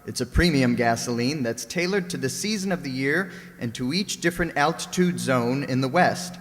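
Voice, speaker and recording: A man's voice: medium pitch, expressive, normal speed. A man announcing a recreated old-time radio show in a scripted style. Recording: echoey hall with noticeable noise.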